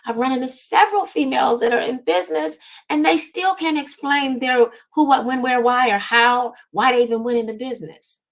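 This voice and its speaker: A woman's voice: high voice, fairly quick, hardly any pauses, low-quality recording. A woman giving a motivational business coaching talk, speaking emphatically and often checking in with her listeners. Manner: emphatic, checks in with "right?" and "okay?". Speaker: business strategy coach and host.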